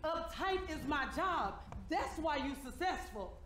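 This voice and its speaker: A woman's voice: high-pitched, expressive, measured pace, fairly bright tone. A woman playing an uptight, protective celebrity manager in a comedic stage play, fretful and businesslike. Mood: stern. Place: theater stage. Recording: echoey theater, clearly noisy.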